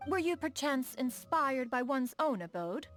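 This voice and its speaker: A proud adeptus noblewoman and inventor for a video game: high, expressive delivery, stately archaic phrasing. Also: a little slow, faint music behind, full character voice. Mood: poised, appreciative. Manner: formal, archaic, lofty diction, speaks of herself as "one". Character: proud, refined immortal adeptus woman, inventor of mechanical contraptions. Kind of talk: scripted video game character dialogue.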